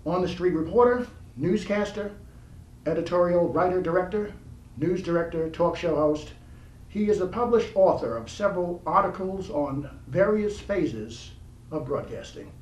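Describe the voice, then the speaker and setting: Guy hosting a talk show, reads off guest credits in long lists, kinda high voice, echoey room, mic far off. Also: moderate pace, a little mellow. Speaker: interview host. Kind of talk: formal introductions for a talk show.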